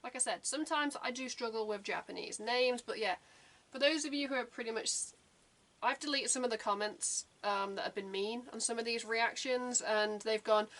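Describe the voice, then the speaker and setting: A woman's voice: a little high, moderate pace, a bit bright. A young adult woman hosting a reaction channel, talking casually in a rambling, chatty way with lots of fillers.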